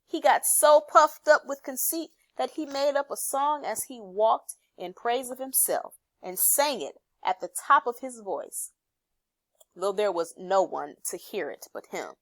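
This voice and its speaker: A woman reading a children's story aloud as expressive scripted narration. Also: fairly high pitch, a little slow, no room sound. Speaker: storybook reader.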